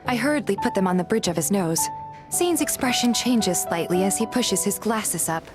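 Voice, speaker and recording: A woman playing a curious, polite young game heroine, narrating in the first person and speaking her dialogue in an earnest, gentle manner. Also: mid-range voice, lively intonation, moderate pace, over music, close to an everyday voice. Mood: earnest, reflective.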